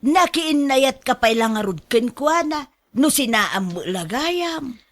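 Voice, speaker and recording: Slow-talking, fretful grandmother, acted by a woman. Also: high voice, lively intonation, exaggerated voice. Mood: scolding, worried. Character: older Filipino mother and grandmother, fretful, scolding, caring. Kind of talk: radio drama acting.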